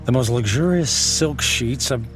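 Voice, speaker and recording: An actor playing a wealthy, weary, miserable man in a radio drama, speaking in a halting, guarded way. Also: medium pitch, over music, close to an everyday voice. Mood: miserable, brooding. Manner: hesitant, halting, sighing.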